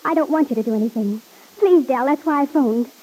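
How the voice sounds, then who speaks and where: A woman playing a gentle, anxious, secretive young woman in an old-time radio serial, speaking hesitantly and earnestly. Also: sits high, brisk, rough recording. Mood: anxious, pleading. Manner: hesitant, soft-spoken, earnest.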